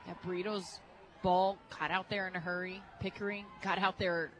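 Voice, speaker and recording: A woman working as a radio colour analyst, giving informal, conversational commentary on a softball game. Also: fairly low pitch, little pitch movement, moderate pace. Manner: informal, explains tactics. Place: outdoor ballpark radio booth.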